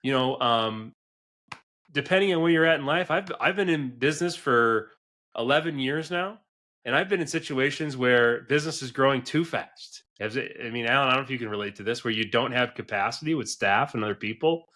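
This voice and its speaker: Bright, forceful man of forty hosting a podcast. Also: medium pitch, a little fast. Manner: earnest, emphatic, often checks with "right?". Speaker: podcast host, business advisor. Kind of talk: conversational business advice podcast.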